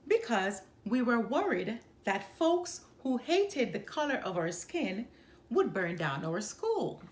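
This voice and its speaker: A woman reading a children's picture book aloud as a storyteller, expressively and with measured, emphatic phrasing. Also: a little high, sing-song.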